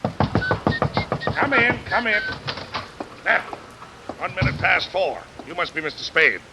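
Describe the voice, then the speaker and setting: An actor playing a pompous, blustering middle-aged newspaper publisher with fussy, orotund delivery in 1940s radio drama style. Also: male voice, high voice, very animated, takes it slowly, full character voice. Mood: brusque, stern. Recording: hissy vintage radio recording, rain behind.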